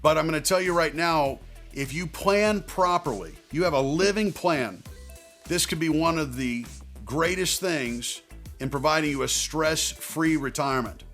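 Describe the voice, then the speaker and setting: A man speaking straight to viewers as a financial advisor, giving advice in a conversational, emphatic way with deliberate phrasing. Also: on the high side, fairly bright tone, faint music behind. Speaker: financial advisor and video host. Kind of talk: direct-to-viewer advice talk.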